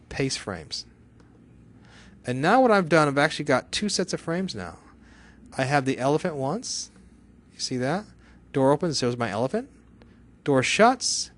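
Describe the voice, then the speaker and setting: Chatty man teaching software. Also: medium pitch. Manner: chatty, asking and answering his own questions. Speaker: software instructor. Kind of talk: live software tutorial to a class.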